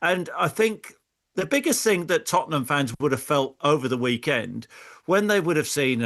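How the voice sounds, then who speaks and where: A middle-aged former player debating football as a pundit, a man who argues emphatically in halting, repeated phrases. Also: medium pitch, gravelly, fairly bright tone.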